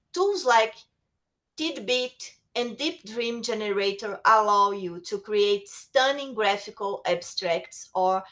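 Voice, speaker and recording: A woman's voice: on the high side, a bit bright. A woman whose first language is Portuguese, presenting a webinar lecture on writing tools, reading prepared text with small restarts. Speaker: webinar speaker.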